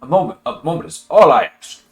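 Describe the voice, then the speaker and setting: A man voicing a nervous, smooth-talking male messenger who stammers and flatters. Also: on the high side, fast talker, voice pushed up. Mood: flattering. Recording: roomy echo, faint music.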